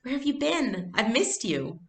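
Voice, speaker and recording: A woman's voice: fairly high pitch, big pitch swings, measured pace, reverberant, voice pushed up. A woman reading a storybook aloud, voicing an earnest, curious and worried young girl. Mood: eager.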